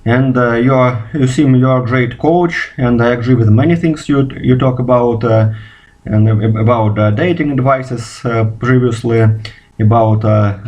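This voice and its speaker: A man's voice: medium pitch, darkish tone. A Russian man speaking English as a second language, a physicist talking unscripted to camera, stopping often on "uh" fillers and restarts. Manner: halting, many "uh" fillers and restarts. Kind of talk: unscripted video response.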